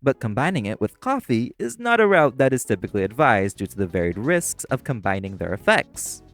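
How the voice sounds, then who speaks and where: A man playing a prim, exasperated waiter in a scripted audio roleplay, speaking formally with deadpan, condescending patience. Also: mid-range voice, a little fast, soft background music. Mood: stern, formal. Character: prim, exasperated, sarcastic young waiter boyfriend. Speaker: voice actor.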